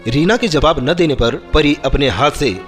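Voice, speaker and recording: Reverberant male storyteller, slightly high voice. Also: moderate pace. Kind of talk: scripted narration of a children's moral story. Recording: long reverb, music bed.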